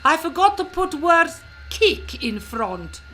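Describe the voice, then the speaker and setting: A woman's voice: very high-pitched, sing-song, takes it slowly, sharp tone, faint music behind. A woman in an amateur comic radio play voicing a brawling Soviet spy nun who boxes, speaking broken English in a put-on Russian accent. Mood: taunting.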